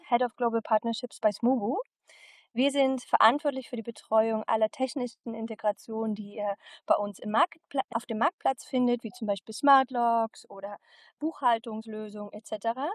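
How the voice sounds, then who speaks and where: Woman hosting a webinar, high voice. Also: no echo. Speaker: webinar host. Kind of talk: webinar moderation.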